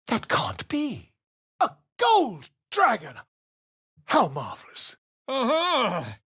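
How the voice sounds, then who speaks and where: A man's voice: sits high, bright, forceful tone, breathy edge, full character voice. An actor playing a flamboyant, chatty male bard in a video game, theatrical and self-important in his flourishes. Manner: theatrical, grandiloquent, toast-giving flourish.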